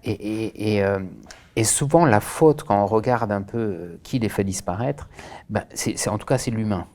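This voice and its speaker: Earnest man with a low voice. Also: moderate pace, darkish tone. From France, raised in Senegal. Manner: earnest, hesitant with many fillers and restarts. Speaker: director of a Senegalese association. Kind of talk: interview answers.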